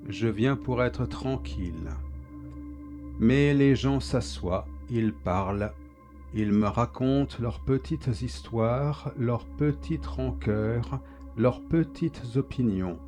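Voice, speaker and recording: A man narrating a dark first-person story in a flat, measured, placid way. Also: lowish voice.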